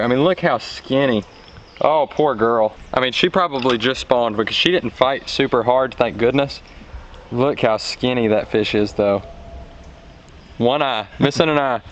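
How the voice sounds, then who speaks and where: A man's voice: mid-range voice, normal speed, fairly bright tone, highs cut off, a little hiss or hum. Casual man chatting outdoors on a boat. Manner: informal, repeats short exclamations. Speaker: fishing vlogger. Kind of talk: casual chat while fishing. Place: outdoors on a bass boat on a small lake.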